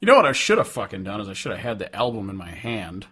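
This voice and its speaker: A man's voice: lowish voice, very animated, fast talker, fairly bright tone, slightly rough. A man chatting casually as a podcast co-host, blunt and joking, with plenty of swearing. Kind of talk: casual chat.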